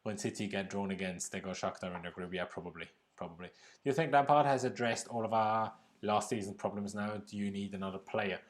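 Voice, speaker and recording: A man's voice: lowish voice, brisk. A young man from Austria hosting a live football reaction stream, chatting casually, reading viewer comments and laughing often. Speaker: livestream host reacting to a football draw.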